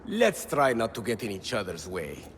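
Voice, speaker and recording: A man's voice: fairly low pitch, wildly swooping pitch, moderate pace, fairly bright tone, slight background noise. A man playing a proud, stubborn rebel in a video game, speaking in a grandiloquent, wry and theatrical way. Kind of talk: video game cutscene dialogue.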